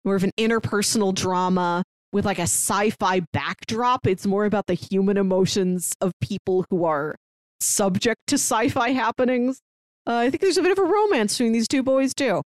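A woman co-hosting a podcast, chatting casually as she describes and pitches shows. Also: moderate pace, no room sound. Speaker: podcast co-host.

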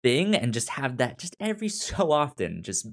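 A man co-hosting a podcast in casual chat, with a halting stutter that repeats sounds and syllables. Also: medium pitch, big pitch swings. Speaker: podcast co-host.

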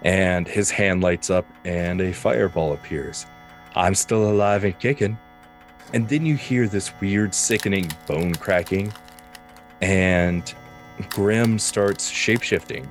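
A man's voice: sits low, slow pace, faint music behind. A man narrating as game master, improvising the scenes of a tabletop roleplaying game.

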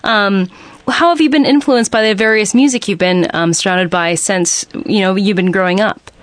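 A woman's voice: medium pitch, normal speed, no room sound. A woman hosting a radio interview, asking questions hesitantly with fillers. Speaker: radio host.

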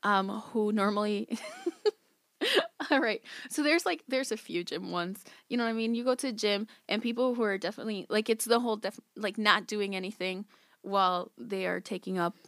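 A woman's voice: medium pitch, animated, moderate pace, a bit bright, no echo, studio quality. A young woman whose first language is Spanish, a podcast guest talking casually, chatty and giggly with many filler words. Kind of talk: casual conversation.

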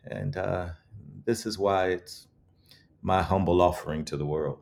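A man's voice: low voice, steady tone, a little slow, creaky, a little mellow. A man speaking as an interviewed author, thoughtful, earnest and articulate, with rhetorical emphasis. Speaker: author interviewed about his book.

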